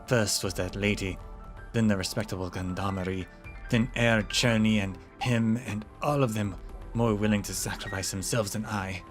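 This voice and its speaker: A haunted young nobleman, voiced by a man, speaking low and grim with quiet resolve. The voice stays deep and steady, weary rather than loud. Faint music plays in the background. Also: normal speed, lightly acted. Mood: resolute. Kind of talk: game story read aloud.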